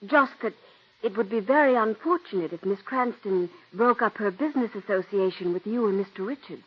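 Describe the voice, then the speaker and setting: An actress playing a young, ambitious stage actress in a 1940s radio drama, earnest and pleading with an edge of cool menace. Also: female voice, mid-range voice, a little fast, husky, lightly acted. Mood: coldly threatening. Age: young adult. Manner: earnest and pleading, turning coolly threatening. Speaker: aspiring actress. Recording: dull old radio broadcast.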